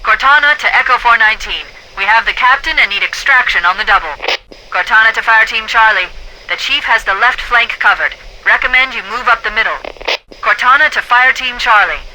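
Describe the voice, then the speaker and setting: A woman's voice: fairly high pitch, a little fast, sharp tone, low-quality recording. A woman voicing a female military AI in a video game, making clipped, businesslike radio calls through a radio filter. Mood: brisk, focused. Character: female military AI radio dispatcher, sharp and urgent. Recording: radio transmission with faint noise.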